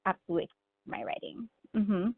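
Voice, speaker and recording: A woman's voice: mid-range voice, fairly even intonation, fairly quick, slightly rough, no echo. Reflective woman on a narrow phone line. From Miami, United States. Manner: reflective, frequent "like" and "you know". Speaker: author, podcast guest. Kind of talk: casual interview conversation.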